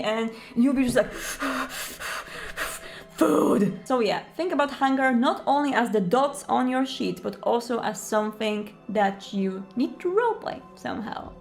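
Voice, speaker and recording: A woman presenting tips in an explanatory video monologue, in a conversational manner. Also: fairly high pitch.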